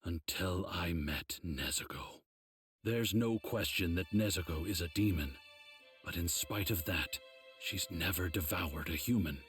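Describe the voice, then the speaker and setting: An actor playing a grave old mentor of demon slayers, explaining things in a measured, solemn way for a video game. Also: male voice, low voice, fairly bright tone, soft background music. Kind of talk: English game dub, measured explanatory dialogue.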